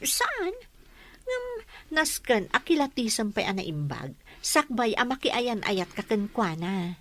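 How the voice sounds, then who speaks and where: A woman's voice: fairly high pitch, sing-song, slow pace, fairly bright tone, heavily acted. A woman playing a dutiful, guarded young woman in a Filipino radio drama, earnest and cautioning, with a Filipino accent. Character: dutiful, guarded young woman putting family before romance.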